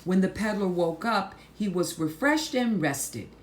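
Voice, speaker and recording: A woman reading a picture book aloud to children, phrasing deliberately and stressing words for drama. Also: medium pitch, animated, background noise. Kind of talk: slow, expressive picture-book read-aloud.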